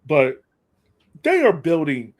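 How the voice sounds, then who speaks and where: A man's voice: a little high, huge pitch swings, takes it slowly. A man co-hosting a podcast, chatting casually about sports in colloquial language and repeating phrases for emphasis. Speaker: podcast co-host.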